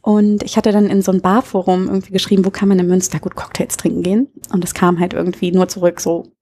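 A woman's voice: on the low side, little pitch movement, quick pace, mellow. A woman in her thirties from Berlin, chatting casually and openly as the guest in an interview. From Berlin, Germany. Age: 30s. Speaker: interview guest, bar owner.

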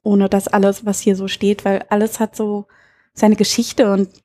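A woman's voice: medium pitch, level tone, normal speed, warm tone. A woman in her thirties from Berlin, chatting casually and openly as the guest in an interview. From Berlin, Germany. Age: 30s. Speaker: interview guest, bar owner.

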